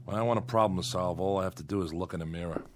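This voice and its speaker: An actor playing a bitter, lonely convict exiled on an asteroid in a radio drama, terse, sardonic and easily exasperated. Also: male voice, deep voice, racing, close to an everyday voice. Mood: wry, sardonic. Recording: radio drama with music underneath.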